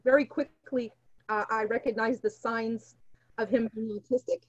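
A woman's voice: a little high, steady tone, normal speed. A middle-aged woman from Canada whose mother tongue is Portuguese, talking candidly and reflectively as a podcast guest, on a roomy, slightly dull microphone. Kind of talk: candid personal conversation.

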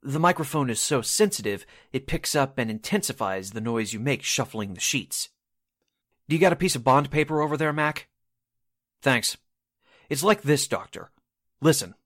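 Medium-pitched, affable radio station man acted. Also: expressive, moderate pace, fairly bright tone. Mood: explanatory. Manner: explanatory, businesslike. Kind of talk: radio drama acting.

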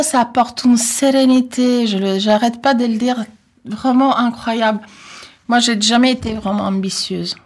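A middle-aged Italian woman with an Italian accent, answering interview questions in a talkative, reflective way with many hesitations. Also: medium pitch. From Italy. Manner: talkative, reflective, with frequent hesitations and soft laughs. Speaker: interview guest. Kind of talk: personal conversational interview.

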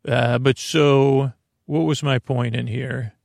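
A man with a creaky voice hosting a sleep podcast, rambling to the listener in a meandering, tangled, self-deprecating way. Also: medium pitch, steady tone, slow pace, darkish tone, no room sound, clean studio sound. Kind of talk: rambling bedtime monologue to the listener.

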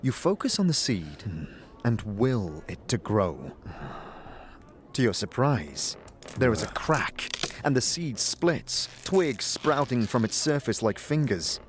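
A man's voice: mid-range voice, very animated, takes it slowly, slight background noise. A man narrating a fantasy audio drama in the second person, telling the story scene by scene.

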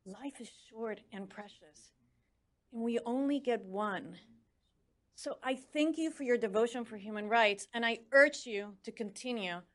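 A woman's voice: fairly high pitch, a little slow. A woman whose first language is Spanish, speaking with a Spanish accent as she gives a prepared speech as a commissioner, earnest and urging. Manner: earnest, urging, stumbles over foreign names. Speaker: commissioner on religious freedom.

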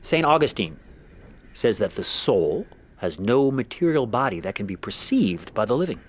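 Troubled, animated Southern priest. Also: male voice, medium pitch, normal speed. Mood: uneasy, stammering. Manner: hesitant, stammering, solemn. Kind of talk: old-time radio drama acting.

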